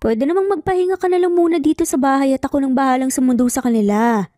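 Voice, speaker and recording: A woman voicing a caring, practical older sister who talks gently and helpfully in a dramatic story. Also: high-pitched, fast talker, no room sound, clean studio sound, faint music behind, lightly acted. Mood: concerned, helpful.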